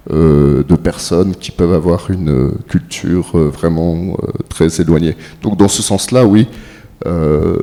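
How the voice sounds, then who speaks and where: A man's voice: low-pitched, dark tone. A man, a filmmaker, answering an audience question at a conference with many hesitations and fillers. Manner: slow and halting, many pauses and fillers. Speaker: filmmaker on a panel. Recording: echoey hall.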